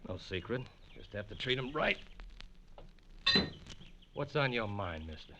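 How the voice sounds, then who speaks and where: A man's voice: mid-range voice, very animated, unhurried. A man playing a laconic, solitary cowboy in an old radio drama, terse and guarded. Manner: terse, taciturn. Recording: dull, very noisy old radio recording.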